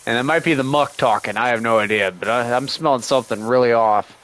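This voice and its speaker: A man gives loose, sarcastic running commentary while he streams a game, griping at its characters as if they could hear him. His voice sits at an ordinary middle pitch, and he is still shaking off a cold that has left him with a lingering cough. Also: fast, a bit bright. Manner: sarcastic, grumbling, talks back to the game's characters. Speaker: gaming streamer. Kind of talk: casual livestream game commentary.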